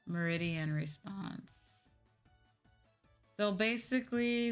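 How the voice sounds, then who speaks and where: A woman's voice: lowish voice, clear, resonant tone. A woman livestreamer chatting casually with her viewers, with music playing behind her. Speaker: livestreamer, VTuber host.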